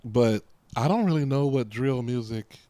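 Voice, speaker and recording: A man co-hosting a podcast in casual chat, playful and teasing, with his pitch jumping up when excited. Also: normal speed, no echo. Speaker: podcast co-host.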